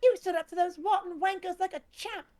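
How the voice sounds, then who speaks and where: A woman voicing a cheeky young friend, excited and teasing. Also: very high voice, brisk, pitched above the performer's own voice.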